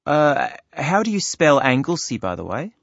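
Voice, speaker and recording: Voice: medium pitch, sing-song, moderate pace, vocal fry, no room sound. A voice actor playing a friendly club receptionist who fills in a new member's form, chatting easily with small laughs and ums. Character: friendly office clerk taking a new member's details. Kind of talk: scripted listening-test dialogue. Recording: dry, slightly dull studio recording.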